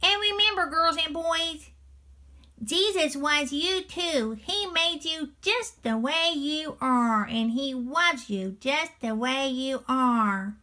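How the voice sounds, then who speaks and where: High, bright little toy dog. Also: female voice, lively intonation, takes it slowly, heavily acted. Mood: awed, earnest. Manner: simple childlike wording, earnest, wide-eyed. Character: childlike stuffed toy dog, earnest and easily moved. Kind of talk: character reacting to a children's story.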